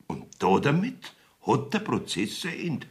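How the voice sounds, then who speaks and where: A man's voice: mid-range voice, huge pitch swings, unhurried, breathy edge, exaggerated voice. A man playing a wily rustic village judge in a dialect radio play, evasive and self-serving, with a Bavarian accent. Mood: insistent. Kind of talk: dialect radio drama acting.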